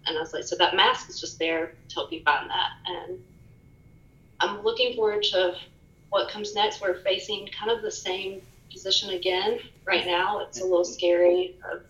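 A soft-spoken woman guest on a podcast, speaking candidly and reflectively in casual conversation. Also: on the low side, steady tone, normal speed, reverberant, lo-fi sound. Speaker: podcast guest, fitness studio owner. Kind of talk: casual interview chat.

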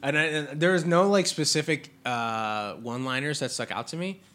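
A man co-hosting a casual podcast, sniffly from allergies, excitable and swearing freely. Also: fairly high pitch, normal speed. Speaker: podcast co-host. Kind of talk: casual wrestling chat. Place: Chicago area.

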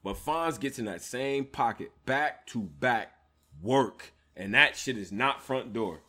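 A man talking battle rap as a commentator in casual, slangy panel banter, with an animated, expressive delivery. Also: mid-range voice, slow pace.

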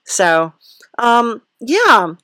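A woman's voice: on the high side, sing-song, extremely slow, bright, forceful tone, dry recording, clean studio sound. A woman hosting a comic interview in a casual, rambling, hesitant way with a wry touch. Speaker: podcast host, interviewer.

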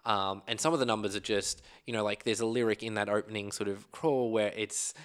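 A man's voice: fairly low pitch, fairly even intonation, brisk, fairly bright tone, smooth. Chatty man reviewing films. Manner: enthusiastic, rambling with many fillers and restarts. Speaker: podcast co-host. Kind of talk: casual film review chat.

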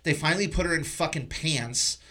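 A man's voice: on the high side, fairly quick, pushed and bright. Casual, sweary man talking movies. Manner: informal, freely swearing, teasing. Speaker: podcast host. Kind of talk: casual film discussion.

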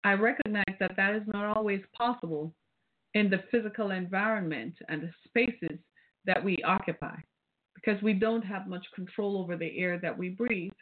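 A woman's voice: medium pitch, moderate pace, slight background noise. Caribbean-accented woman podcaster over a phone line. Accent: British Caribbean. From British Caribbean. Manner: preachy, rhetorical, tags points with "right?". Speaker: podcast host. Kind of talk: casual solo podcast commentary. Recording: phone-like, narrow band.

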